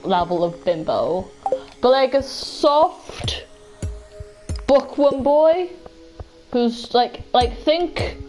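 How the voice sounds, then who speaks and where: Slow, rambling young woman. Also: medium pitch, a little mellow, lo-fi sound. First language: Welsh. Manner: rambling, full of "like" and restarts, giggly. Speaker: streamer. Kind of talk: casual chat while playing a game. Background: game music.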